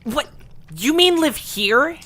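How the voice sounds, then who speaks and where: A woman's voice: high voice, expressive, takes it slowly, bright, forceful tone, heavily acted. A woman voicing an impatient, skeptical young leader of a creature band in an amateur audio story. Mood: firm. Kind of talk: amateur audio drama acting.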